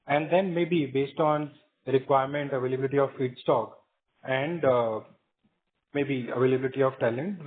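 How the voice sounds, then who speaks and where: A man with an Indian accent answering analysts as a company executive on a business call, measured and matter-of-fact in explaining. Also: mid-range voice. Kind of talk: spontaneous business Q&A on an earnings call. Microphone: conference call line. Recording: muffled narrowband phone conference line.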